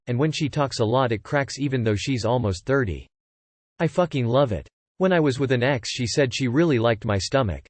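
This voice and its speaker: A man's voice: medium pitch, darkish tone, dry recording. Plain-voiced man reading comments. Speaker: reader of online comments. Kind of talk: scripted narration.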